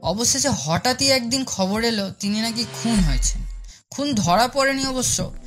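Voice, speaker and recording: A woman's voice: fairly high pitch, expressive, normal speed, a bit bright. Woman voicing a scoffing, colloquial cartoon character. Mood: ominous, gossipy. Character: gossipy palace guard or brash dismissive young friend. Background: music.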